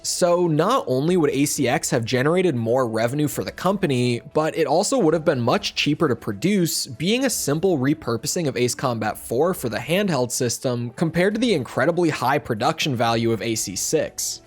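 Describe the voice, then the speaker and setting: A man reading a scripted video essay voiceover as a game reviewer, slightly hoarse, explaining in a steady, upbeat way. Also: on the high side, normal speed, few pauses, faint music behind. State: slightly hoarse, near losing his voice.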